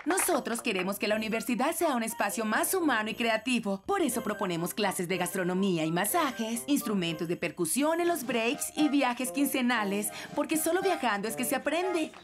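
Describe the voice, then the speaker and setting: Cartoon student leader played by a woman, high voice, confident, free-spirited; music clearly behind. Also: animated, moderate pace, few pauses, close to an everyday voice. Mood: challenging. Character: confident young female student party president, free-spirited. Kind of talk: cartoon dubbing.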